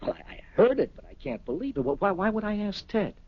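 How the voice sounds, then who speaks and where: A man's voice: sits high, very animated, quick pace, no room sound. An actor playing an earnest, disbelieving male friend in a radio drama. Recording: dull vintage radio recording.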